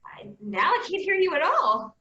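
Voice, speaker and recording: A woman's voice: high voice, sing-song, normal speed, reverberant, lo-fi sound, a crowd in the background. A woman playing a plucky, defiant police officer with emphatic comic delivery in a staged play reading. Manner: emphatic, comedic.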